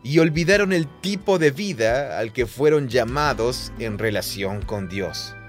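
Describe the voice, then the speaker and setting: A man dubbing a podcast co-host, explaining things with quick affirmations and light laughs, recorded in a studio. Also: mid-range voice, expressive, loud music behind the voice, close to an everyday voice. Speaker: podcast co-host, Spanish dub. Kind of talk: dubbed conversational podcast.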